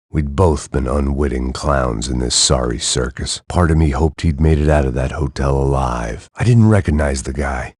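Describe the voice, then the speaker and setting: Burned-out hard-boiled ex-cop, very deep, husky, dry and sardonic, game monologue. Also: male voice, no echo. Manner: dry, sardonic, world-weary. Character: weary hard-boiled male ex-cop, bitter and cynical. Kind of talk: video game voice lines, noir inner monologue.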